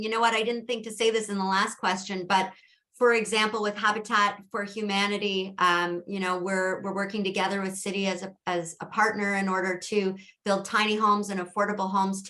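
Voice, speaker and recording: A woman's voice: mid-range voice, little pitch movement, normal speed, sharp tone. A middle-aged woman with a Canadian accent answering as a council candidate at a debate, earnest, with many fillers and restarts.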